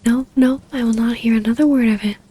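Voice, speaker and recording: Woman acting a soft, high-voiced, yearning vampire baroness. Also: steady tone, fast, background noise, close to an everyday voice. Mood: soothing, devoted. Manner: soft, breathy, often dropping to a whisper. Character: tender, yearning vampire baroness, long-lost lover. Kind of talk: intimate ASMR roleplay acting.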